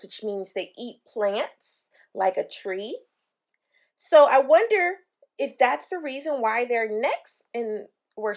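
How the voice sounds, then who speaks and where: A woman with a fairly high voice reads a picture book aloud for children. She takes it very slowly, adding simple explanations for young listeners as she goes. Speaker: read-aloud storyteller for children.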